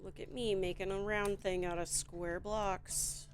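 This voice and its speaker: A Midwestern woman with a Chicago accent, chatting in a rambling, relaxed way while she builds a toy set on stream. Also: medium pitch, faint music behind. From Midwestern United States. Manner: chatty, rambling, slow and drawn out, with frequent fillers.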